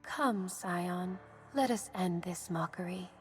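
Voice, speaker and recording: An actress playing a solemn lamb spirit of death in a video game, speaking in a measured, formal, poetic manner. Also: female voice, fairly low pitch. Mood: solemn, cool. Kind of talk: video game character voice lines. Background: music.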